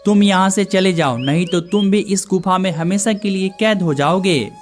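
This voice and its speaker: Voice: medium pitch, moderate pace, soft background music, lightly acted. An actor voicing a solemn old holy man who guards a cave in a cartoon story, stern yet kindly. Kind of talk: cartoon moral story dialogue.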